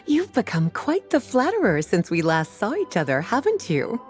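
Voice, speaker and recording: A woman's voice: fairly high pitch, huge pitch swings, a little slow, soft background music. A woman playing a languid, flirtatiously teasing librarian in a video game, coy in her delivery. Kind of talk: video game character dialogue.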